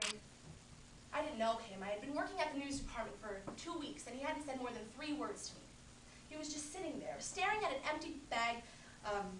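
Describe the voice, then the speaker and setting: A woman's voice: fairly high pitch, noisy background, close to an everyday voice. A woman playing a young woman who recounts an odd affair with dry amusement, recorded from a distance in a roomy space. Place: room at a performance.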